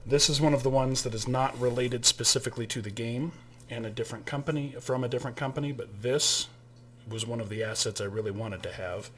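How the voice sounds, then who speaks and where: A man's voice: medium pitch, steady tone, fairly quick, fairly bright tone, slight background noise. A man hosting his own channel, giving a casual spoken overview of a tabletop game kit, with frequent fillers and restarts. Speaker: channel host and game master.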